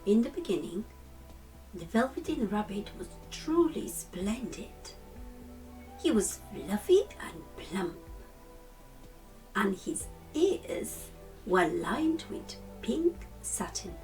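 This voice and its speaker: A woman reads a picture book aloud for storytime. Her phrasing is slow and deliberate, in a mid-range voice. She sounds slightly away from the mic, with music playing behind her. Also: mid-range voice, very animated, mellow, far from the mic, low-quality recording. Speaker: storytime reader. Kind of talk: children's storybook narration.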